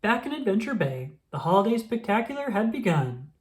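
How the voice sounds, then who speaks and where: A woman reading a children's picture book aloud in an expressive storytelling manner. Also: medium pitch, brisk. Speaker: storybook reader.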